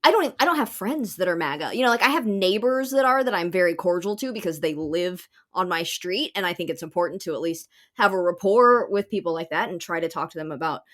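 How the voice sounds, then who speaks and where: A woman's voice: on the low side, animated, fairly quick, hardly any pauses, a bit bright. An American woman chatting casually as a podcast co-host in an informal, conversational way. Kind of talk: casual chat.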